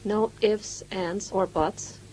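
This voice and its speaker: A woman's voice: medium pitch, fairly even intonation, slow pace, a little mellow, poor audio. A woman from Bulgaria, answering as a patient in short, simple replies. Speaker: patient in an exam demonstration. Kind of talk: short answers to test questions.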